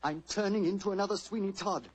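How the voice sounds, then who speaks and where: A haunted stage actor speaks in a rough, husky voice pitched a little high. He is agitated and halting, with the heavy melodrama of old radio plays. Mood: tense, desperate. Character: tormented stage actor fearing he is a murderer. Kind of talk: melodramatic 1940s radio acting.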